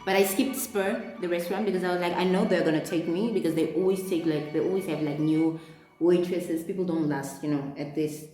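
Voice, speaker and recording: A young woman with a South African accent talks through her makeup routine in a chatty storytime. She sits a bit low in pitch and jumps up when acting out conversations. Her voice rings off the walls of the room. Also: brisk. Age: young adult. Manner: animated, replays dialogue in a raised voice. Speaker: beauty vlogger. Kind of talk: chatty get-ready-with-me storytime. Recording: echoey room with music behind.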